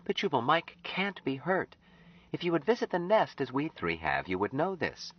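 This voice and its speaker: A man reading an audiobook, voicing an earnest young woman who gently insists and reassures. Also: fairly high pitch, sing-song, normal speed, pitched above the performer's own voice. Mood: pleading. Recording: slightly dull lo-fi audio.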